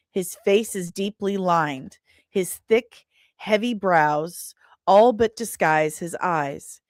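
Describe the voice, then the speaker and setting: A woman's voice: on the low side, dry recording, studio quality. A woman with a playful, theatrical manner, hosting a livestream and reading aloud from her comic script. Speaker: host and comic author.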